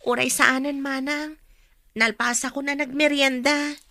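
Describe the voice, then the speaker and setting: A woman's voice: sits high, little pitch movement, measured pace, sharp tone, no room sound, full character voice. An actress playing an earnest, polite young woman student in a radio drama, speaking with a Filipino accent. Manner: polite, deferential. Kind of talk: Ilocano radio drama acting.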